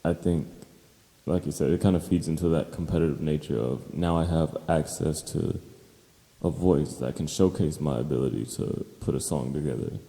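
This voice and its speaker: A man co-hosting a podcast in relaxed, casual discussion. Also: a bass voice, normal speed, creaky, big-room sound. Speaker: podcast co-host.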